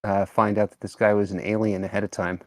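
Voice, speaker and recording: Lowish-voiced man in casual game chat. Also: flat delivery, fast talker, no echo. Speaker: player in a tabletop roleplaying game.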